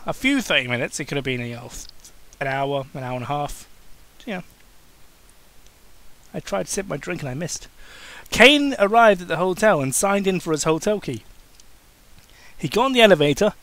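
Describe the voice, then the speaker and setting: A man narrates fan fiction as an amateur, informally and with a mocking streak. His voice is on the high side and bright, recorded very dry. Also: no echo. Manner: informal, breaks off for mocking asides. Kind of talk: comic dramatic reading of fan fiction.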